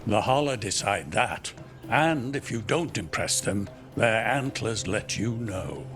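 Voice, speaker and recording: An actor playing a cranky, wry old elf who keeps a herd, teasing and plain-spoken, in a video game. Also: male voice, fairly low pitch, animated, slow, music in the background. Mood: grave, wary. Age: older.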